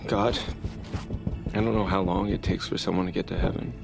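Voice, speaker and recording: An actor playing a goofy, excitable male amateur bounty hunter in an audio drama, rambling and emotional. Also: low-pitched, fairly quick, creaky, music in the background. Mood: solemn.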